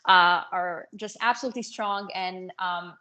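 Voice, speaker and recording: A woman answering casually as an interview guest, earnest and somewhat halting as she searches for words. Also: on the low side, fairly even intonation, sharp tone. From North Africa and France. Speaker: podcast guest, business coach.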